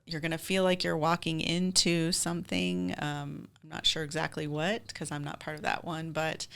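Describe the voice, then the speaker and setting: A woman's voice: deep voice, flat delivery, moderate pace, vocal fry, a bit bright, clean tone. A woman with an American accent speaking casually as a theater group's guest in an interview.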